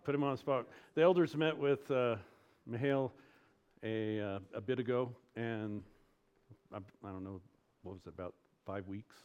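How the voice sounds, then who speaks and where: A man's voice: medium pitch, moderate pace, a little mellow, muffled recording. A male pastor speaking informally to his congregation during a church service.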